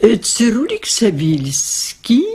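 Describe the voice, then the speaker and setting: A woman's voice: fairly high pitch, huge pitch swings, dark tone, slightly rough, heavily acted. A woman playing an old, good-natured, nostalgic grandmother in a radio drama, drawing out her words as she reminisces. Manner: drawn-out, hesitant, reminiscing.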